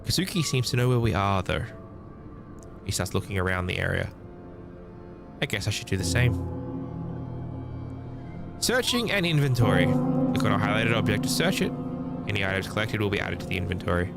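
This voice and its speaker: Man, low expressive voice, game read-aloud narration, streamer, loud music behind. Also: moderate pace, vocal fry, long pauses. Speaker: streamer reading a visual novel aloud. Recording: music under the voice. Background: prominent music.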